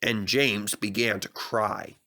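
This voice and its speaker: A man's voice: lowish voice, steady tone, slow pace, pushed and bright. A man narrating a scripted children's story expressively as a storybook reader.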